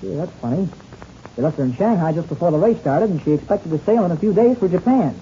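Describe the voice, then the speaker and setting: An eager, friendly teenage boy pilot in an old radio adventure serial. His delivery swings up and down with curiosity, and the tone is very dark. The recording is dull, with a light hiss. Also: high-pitched, animated, fast talker. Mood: curious, eager.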